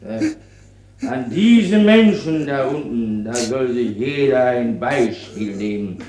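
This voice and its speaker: An actor playing a drunken army chaplain in a radio play, rambling and abusive, swinging between barked orders and maudlin piety. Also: male voice, medium pitch, expressive, slow, darkish tone. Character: drunken, bullying army chaplain preaching to prisoners. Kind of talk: German radio play sermon. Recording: echoey chapel-like room.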